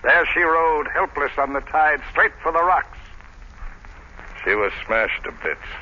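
A man's voice: fairly high pitch, very animated, unhurried, bright, forceful tone, heavily acted. An actor playing a grim, haunted seaman telling an ominous tale in dramatic 1930s radio style. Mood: grave, certain. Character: grim, haunted seaman recounting a ghost legend. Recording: dull, noisy 1930s radio recording.

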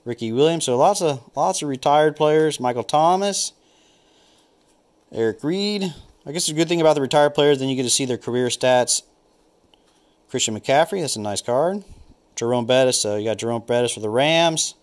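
A man's voice: mid-range voice, expressive, moderate pace. A man opening packs of football trading cards, thinking aloud and naming each card as he flips through them. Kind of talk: casual unboxing commentary.

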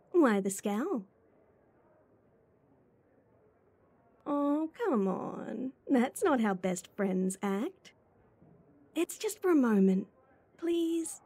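A woman's voice: fairly high pitch, warm tone, breathy edge, no echo, heavily acted. A woman voice actor playing a playful, teasing, clingy schoolgirl childhood friend in a chatty ASMR roleplay. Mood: pestering, pleading.

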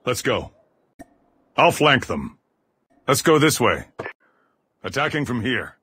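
An actor voicing a gruff, aggressive soldier giving terse, commanding squad callouts in a military video game, with a rough, husky voice. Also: male voice, medium pitch, very animated, slow pace, bright, forceful tone, heavily acted. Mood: calm commanding. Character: gruff armored male soldier, aggressive squad mate in combat. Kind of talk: video game combat voice lines.

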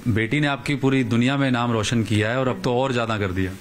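A man's voice: medium pitch, steady tone, quick pace, a little hiss or hum. A man, asking questions conversationally as a sports reporter. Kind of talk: conversational on-location interview. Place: small living room at home, indoors. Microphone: handheld reporter microphone.

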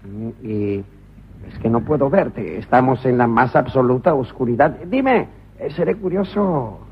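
A man's voice: medium pitch, animated, measured pace, husky, exaggerated voice. A man voicing a bumbling male inspector turned prisoner, hesitant and stammering, in a comic radio play. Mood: flustered. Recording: dull lo-fi radio recording.

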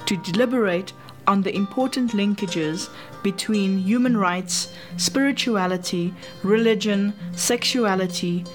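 A woman's voice: medium pitch, unhurried. A woman reading scripted documentary narration. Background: music.

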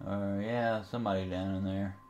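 Low-pitched man in a casual tutorial. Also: little pitch movement, moderate pace, a little mellow, lo-fi sound, faint music behind. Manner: hesitant, unscripted, thinking aloud while operating. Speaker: amateur radio enthusiast, tutorial host. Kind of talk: casual screen-recording software tutorial.

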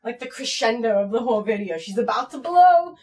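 A woman's voice: fairly high pitch, expressive, a little fast, a little mellow. An American woman in her twenties chatting casually to viewers in a playful, frank and slightly crude way. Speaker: channel performer reading viewer comments. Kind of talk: casual vlog chat. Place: small home room at computer desk.

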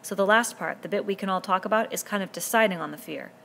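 A woman's voice: mid-range voice, quick pace, gravelly, a bit bright, slight background noise. A woman reading a novel aloud, voicing an earnest, commanding boy chief who pleads and insists as he lays down rules. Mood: urgent.

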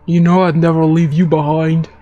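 A woman's voice: deep voice, little pitch movement, moderate pace, warm tone, dull audio, pitched below the performer's own voice, lightly acted. A woman voicing a helpful male game character who gives instructions in roleplay dialogue. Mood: helpful, calm.

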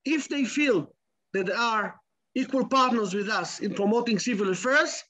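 A middle-aged man with an Israeli accent giving an online lecture in halting English, full of repeats and restarts, over a video call. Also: high voice, pushed and bright. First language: Hebrew. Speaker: guest lecturer.

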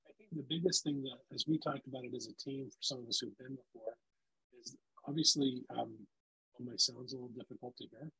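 A man with a gravelly voice presenting a casual online session for teachers over a video call, with loose, filler-heavy talk. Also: mid-range voice, fairly quick, pushed and bright, slight background noise, low-quality recording. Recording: video call audio, volume dropping in and out.

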